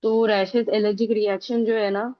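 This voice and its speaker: A woman, a yoga trainee on a video call, slightly hoarse and hesitant as she explains herself. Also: medium pitch, little pitch movement, normal speed, no room sound, lo-fi sound. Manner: hesitant, many fillers while explaining. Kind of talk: student asking questions in class.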